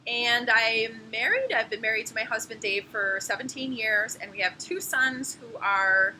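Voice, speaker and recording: A woman's voice: on the high side, moderate pace, bright, forceful tone, slight background noise, poor audio. A woman, a professor explaining course logistics in a friendly, explanatory way. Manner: friendly, explanatory, laughs now and then. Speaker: anatomy and physiology professor. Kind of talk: course introduction video.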